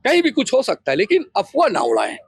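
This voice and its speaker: A man's voice: high-pitched, wildly swooping pitch, fast talker, gravelly, slightly rough, no echo. A man from India giving an insistent, repetitive public appeal in a street interview. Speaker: local man appealing for calm.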